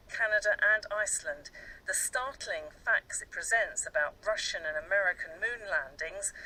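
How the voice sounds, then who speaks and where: A man gives an emphatic scripted reading of a press piece. His voice is very bright and forceful, with slight ambient noise. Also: sits high, poor audio. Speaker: reader of a press preview.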